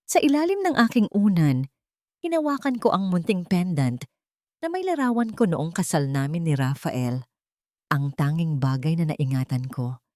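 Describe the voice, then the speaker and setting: A woman narrating a dramatic first-person audio story in a solemn, deliberate manner. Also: on the low side, darkish tone, no echo, studio quality.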